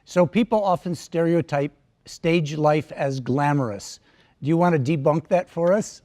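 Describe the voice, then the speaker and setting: A man's voice: on the high side, a little slow, a little mellow. A man hosting an interview, asking short prompting questions. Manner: brief, prompting.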